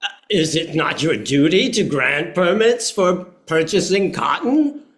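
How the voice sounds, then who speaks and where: A man's voice: on the high side, lively intonation, a little slow, fairly bright tone. A man playing a wheedling old father in a historical play reading, talking like an ingratiating salesman. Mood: persuasive. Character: wheedling old father pushing a business deal. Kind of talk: amateur play table reading.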